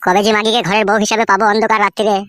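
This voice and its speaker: Guy doing a horny lovesick village bachelor, cartoon comedy, high voice, gushes fast and crude. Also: monotone, pushed and bright, no room sound, clean studio sound. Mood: lustful, yearning. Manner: crude, gushing. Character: lovesick, lustful, foul-mouthed village bachelor.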